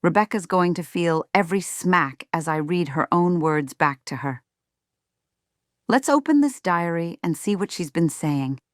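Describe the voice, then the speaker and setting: A woman's voice: on the low side, expressive, unhurried, dry recording, clean studio sound. A woman playing a strict, self-righteous middle-aged mother in a scripted roleplay, speaking to viewers with folksy, sugary condescension. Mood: firm. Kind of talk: scripted ASMR roleplay monologue.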